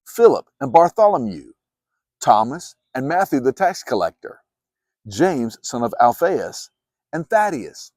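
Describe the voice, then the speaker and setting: Guy reading bible stories, super animated intonation, very dry close sound, clear phrasing. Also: medium pitch, measured pace, no echo. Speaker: Bible narrator. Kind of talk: dramatized scripture narration.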